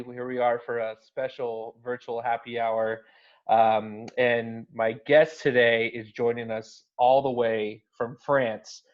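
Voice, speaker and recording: A man hosting a casual virtual happy hour, laid-back and friendly, with hesitant fillers. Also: mid-range voice. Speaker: host. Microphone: video call. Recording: dull lo-fi video call audio.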